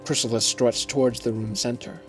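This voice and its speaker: A male narrator delivers scripted action and scene descriptions for an audio drama. His voice sits at an ordinary pitch, with faint music underneath. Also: little pitch movement, brisk, fairly bright tone.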